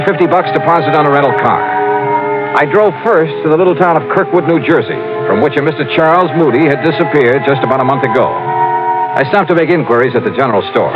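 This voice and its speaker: A man acts out a hard-working radio detective with a lively, swooping delivery. He is brisk, wry and businesslike as he narrates or questions. It is an old-time radio recording with prominent music and slightly limited fidelity. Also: mid-range voice, highs cut off, close to an everyday voice. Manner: clipped, businesslike first-person narration and questioning. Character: brisk, probing male insurance investigator, wry narrator. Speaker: lead actor and narrator of a detective series. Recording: vintage radio drama, organ-style music bed.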